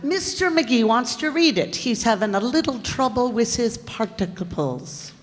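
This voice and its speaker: A woman playing a patient wife who gently and fondly corrects her husband in an old-time radio comedy. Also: on the high side, lively intonation, a little slow. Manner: gently chiding, affectionate. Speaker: actor in radio comedy play. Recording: echoey hall, live stage performance.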